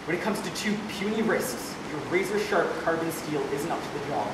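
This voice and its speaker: A woman's voice: low voice, animated, brisk. A woman playing a sardonic, bitter complainer in an amateur stage monologue, precise and emphatic. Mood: indignant, bitter. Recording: echoey hall, distant mic, noisy.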